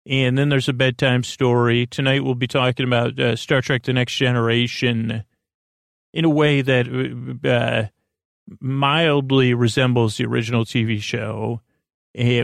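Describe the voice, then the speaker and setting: A man hosting a bedtime podcast in a meandering, halting monologue, often drifting off his train of thought. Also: medium pitch, little pitch movement, gravelly, no room sound, clean studio sound. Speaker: podcast host.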